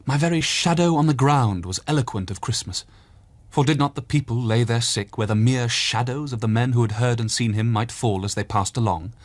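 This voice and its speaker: An actor playing an eloquent Victorian storyteller, reading literary passages in a measured, expressive and dramatic way. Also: male voice, medium pitch, dry recording, close to an everyday voice. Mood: reverent, nostalgic. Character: Charles Dickens, eloquent Victorian storyteller recounting memories. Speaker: reader of Dickens passages.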